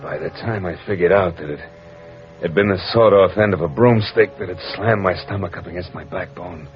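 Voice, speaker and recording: Wry, tough gumshoe narrator male actor, deep register, deadpan delivery, vintage dull radio sound. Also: fairly quick, over loud music, natural voice. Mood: tense narration. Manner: deadpan, sardonic. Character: wry, tough male private detective narrating his case. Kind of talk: 1940s radio detective acting, scripted first-person narration.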